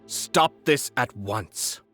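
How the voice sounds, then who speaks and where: An actor playing a courteous, quietly authoritative young noble commissioner, speaking in a formal, measured way in a video game. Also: male voice, mid-range voice, animated, over loud music. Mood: cool, firm.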